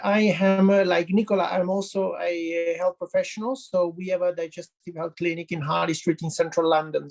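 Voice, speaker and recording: A man's voice: fairly high pitch, gravelly, a little mellow. A man from Italy with an Italian accent giving an informal personal testimonial on an online call, searching for his words as he goes. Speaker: guest giving a testimonial, health professional.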